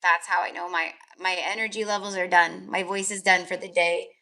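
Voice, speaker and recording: A woman's voice: lowish voice, flat delivery, fairly quick, pushed and bright. A woman tarot reader chatting casually on a live stream, rambling with lots of fillers, her voice tired and crackly. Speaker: tarot reader and energy healer.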